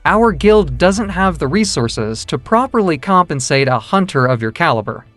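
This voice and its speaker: Man playing analytical young hunter, deadpan wording, varied pitch, manga dub, background music. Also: normal speed. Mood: puzzled, suspicious. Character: calm, analytical young male hunter, guild master, deadpan.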